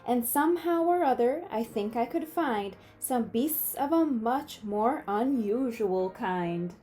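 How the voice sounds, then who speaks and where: A woman reading a rhyming picture book aloud in an expressive, playful manner, stretching words for emphasis. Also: fairly high pitch, slow pace, soft background music. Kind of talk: storybook read-aloud of rhyming verse.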